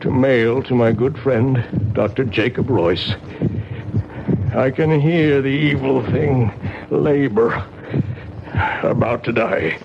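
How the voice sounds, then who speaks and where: An actor playing a tormented, embittered middle-aged husband, solemn and theatrical, in a radio drama confession. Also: male voice, medium pitch, vocal fry, background noise. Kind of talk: 1970s radio drama acting. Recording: muffled old radio, loud music underneath.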